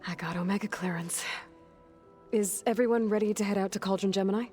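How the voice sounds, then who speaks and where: A woman's voice: medium pitch, fairly even intonation, brisk, darkish tone, close to an everyday voice. A woman playing a determined, wry young hunter heroine in a video game, speaking in a matter-of-fact, dry way. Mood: determined, friendly. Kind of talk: video game cutscene acting. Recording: background music under the voice.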